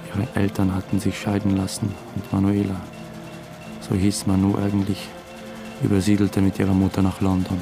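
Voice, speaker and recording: An actor playing an uneasy, obsessive theatre dramaturge, confessing hesitantly in a radio drama. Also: male voice, low voice, creaky. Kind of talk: first-person radio drama narration. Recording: music under the voice. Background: music.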